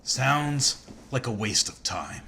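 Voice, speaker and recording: An actor playing a stern, distrustful rival in a video game, terse, dry and confrontational. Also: male voice, medium pitch, lively intonation, slow pace, gravelly, sharp tone, slight background noise, heavily acted. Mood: dry, dismissive.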